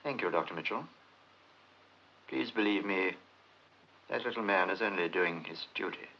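A man's voice: deep voice, moderate pace, bright, forceful tone, slight background noise. An actor playing an urbane, sly British male investigator who questions politely but insistently in 1950s film style. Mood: politely probing. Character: probing, sly, urbane male investigator posing as chatty acquaintance. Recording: dull, muffled 1950s film soundtrack.

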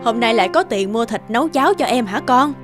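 A woman's voice: fairly high pitch, animated, quick pace, over music, lightly acted. A woman voicing a chatty market meat seller in a cartoon, pitying and gossipy.

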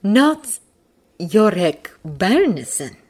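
Storybook witch woman, acted by a female reader: hushed, composed, formal phrasing, gently reserved. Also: sits low, fairly even intonation. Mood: surprised. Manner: quiet, formal, measured.

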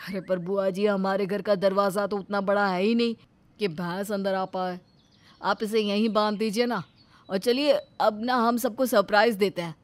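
A woman's voice: medium pitch, fairly even intonation, moderate pace, close to an everyday voice. A woman voicing a polite, mild grown son in a cartoon family story. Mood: polite, pleading. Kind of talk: animated family story acting.

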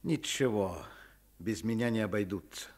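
A caring, principled doctor acted by a man in an old radio play. His voice is rather low and husky, that of a father who means what he says. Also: normal speed, natural voice. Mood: calm. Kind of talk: Soviet radio play acting.

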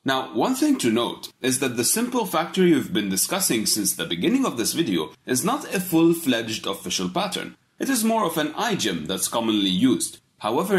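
A man narrating a scripted programming tutorial, explaining code step by step in a measured way. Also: mid-range voice.

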